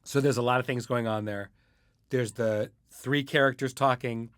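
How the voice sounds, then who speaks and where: A man's voice: medium pitch, fairly even intonation, normal speed, fairly bright tone, clean tone, no echo, clean studio sound. A man hosting a casual interview, explaining things in an informal, conversational way. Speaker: podcast host, interviewer.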